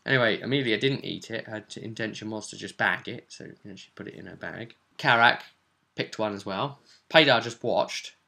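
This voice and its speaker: A man's voice: fairly low pitch, brisk, creaky, pushed and bright. A man hosting as game master, casually recapping a tabletop role-play session in a rambling, descriptive way.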